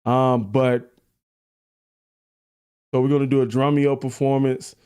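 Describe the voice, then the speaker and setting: A man with a deep voice hosting a live reaction stream, chatty and laughing at his own jokes. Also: little pitch movement, a little slow, a little mellow, clear, resonant tone. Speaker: livestream reaction host. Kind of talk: casual live-stream chat.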